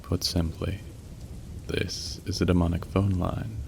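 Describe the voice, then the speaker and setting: Casting: male actor as incubus on a demonic phone line, ASMR. Deep, subdued melody, polite, wry, a touch awkward; rain in the background. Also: flat delivery, measured pace, vocal fry, exaggerated voice. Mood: suave, formal, suggestive. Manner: polite, wry, suggestive turning awkward and apologetic. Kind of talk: ASMR roleplay acting. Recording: rain noise behind the voice. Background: falling rain.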